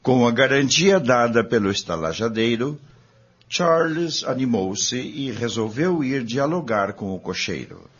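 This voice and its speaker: A man's voice: medium pitch, animated, unhurried, fairly bright tone, breathy edge. A man reading scripted narration for a radio drama. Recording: dull lo-fi audio over music.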